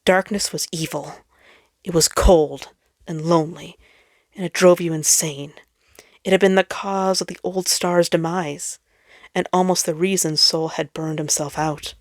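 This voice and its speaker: A woman's voice: on the low side, fairly even intonation, a little slow, dry recording. Dramatic woman reading a story, dry close sound. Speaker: fan fiction reader. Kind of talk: dramatic scripted story narration.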